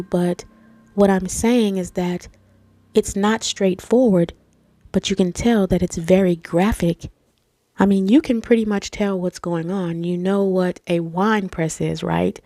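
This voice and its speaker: A woman's voice: lowish voice, mellow, no echo. A woman with a stuffy nose from allergies, reading scripture and explaining it to viewers in a casual, conversational way. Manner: conversational, addresses viewers as family and guys. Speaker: Bible teacher and channel host. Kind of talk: scripture reading and informal Bible teaching.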